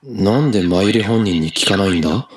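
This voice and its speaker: A male actor voicing an intense, questioning young protagonist in a Japanese visual novel, husky and dramatic. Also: on the low side, lively intonation, very fast. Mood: probing.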